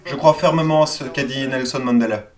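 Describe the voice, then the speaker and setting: A man's voice: mid-range voice, steady tone, brisk. A man reading a first-person motivational speech as a dubbed voice-over, in an earnest and emphatic way. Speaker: voice-over translator.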